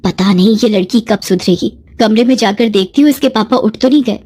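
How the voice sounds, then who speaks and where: A woman's voice: a little high, brisk, warm tone, natural voice. A woman voicing a worried mother who scolds her grown daughter fretfully in a moral story. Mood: exasperated.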